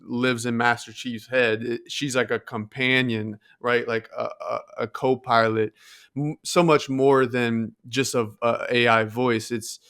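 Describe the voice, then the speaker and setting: A man's voice: medium pitch, fairly even intonation, a little slow, fairly bright tone, clear, resonant tone. A man co-hosting a podcast, talking casually and conversationally as he shares his opinions. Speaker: podcast co-host. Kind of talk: casual tech discussion.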